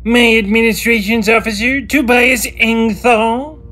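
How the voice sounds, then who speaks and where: A woman's voice: fairly high pitch, fairly even intonation, unhurried, a bit bright, soft background music. A woman playing a boastful, condescending male guild leader who livestreams, in a fantasy audio drama. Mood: grandiose, smug. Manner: grandiose, condescending showman.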